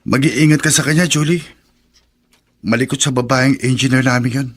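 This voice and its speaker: A man's voice: medium pitch, fairly even intonation, moderate pace, lightly acted. Earnest young Filipino man played by an actor. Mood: concerned. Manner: earnest, hesitant, considerate. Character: kind, earnest male neighbour, a protective suitor. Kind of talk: Filipino radio drama acting.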